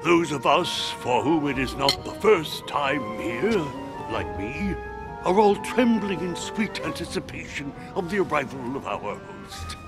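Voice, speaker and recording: Courtly old-world statesman, lively pitch, oratorical, gracious, formal, music underneath, game cutscene. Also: measured pace. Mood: gracious, jovial. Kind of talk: formal speech in a video game. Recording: music behind the voice.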